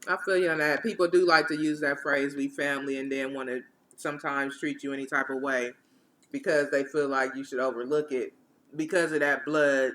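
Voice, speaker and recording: A woman's voice: extremely low pitch, steady tone, brisk, fairly bright tone, a little hiss or hum. Laid-back woman podcast co-host. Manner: laid-back, lots of agreeing murmurs. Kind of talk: casual chat.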